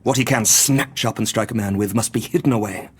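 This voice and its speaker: A man playing an earnest, grave doctor in an audio drama, speaking and narrating in an articulate, measured way. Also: on the low side, slightly rough. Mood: grave urgency.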